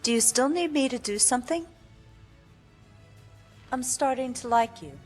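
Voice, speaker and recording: A woman's voice: sits high, expressive, measured pace, darkish tone, faint music behind. A woman voicing a guarded, greedy adventuress companion in a video game, blunt and offhand, with long reverb.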